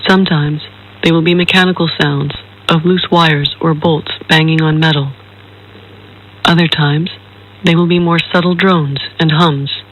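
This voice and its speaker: Man, higher voice, husky, measured scripted introduction, formal careful phrasing. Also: fairly even intonation, a bit bright, poor audio. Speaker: filmmaker introducing their own film and radio piece.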